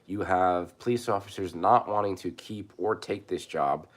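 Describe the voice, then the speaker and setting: A man hoarse from a cold, talking casually on his channel, halting and restarting with many fillers. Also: sits low. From New York. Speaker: prepper channel host. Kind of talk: casual live commentary.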